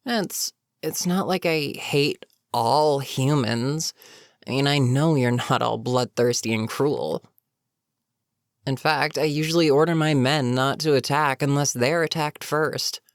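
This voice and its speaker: A weary goblin captain performed by a voice actor speaks in a creaky, gravel-edged voice at a leisurely pace. The delivery is conversational fantasy roleplay, sardonic and amused but businesslike. The sound is very dry, with no room around it. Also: medium pitch, unhurried, dry recording, close to an everyday voice. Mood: wry, matter-of-fact. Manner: casual, sardonic, chatty, sighing asides. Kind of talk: immersive character roleplay monologue, conversational.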